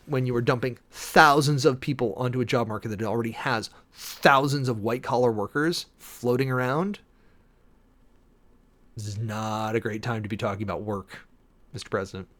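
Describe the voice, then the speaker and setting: A man with a mid-pitched voice hosts a casual livestream. He comments on a document in an informal, opinionated way. He leans on key phrases and repeats them for emphasis. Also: a bit bright. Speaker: live streamer hosting a political commentary show.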